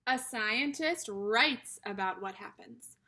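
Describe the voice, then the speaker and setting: Female early-years teacher, high-pitched and slightly husky, animated and emphatic, delivering a children's read-aloud lesson. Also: slow. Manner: instructional, addressing young children with simple questions and repetition.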